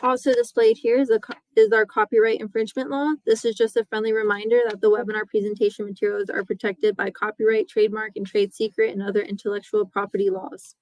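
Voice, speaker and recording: A woman facilitating a webinar, reading a scripted introduction in a steady, procedural way. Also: mid-range voice, fast talker, few pauses, dry recording, poor audio. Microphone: webinar microphone.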